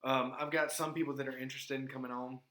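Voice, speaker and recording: A man from rural Mississippi with a Southern accent, talking casually to viewers in a laid-back, halting, folksy way as a vlog host. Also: medium pitch, level tone, bright, forceful tone. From rural Mississippi, southern United States.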